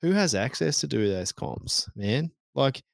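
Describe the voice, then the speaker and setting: A man's voice: medium pitch, sing-song, a little slow, creaky, no room sound, studio quality. A man, co-hosting a casual podcast chat, blunt and sweary. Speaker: podcast co-host.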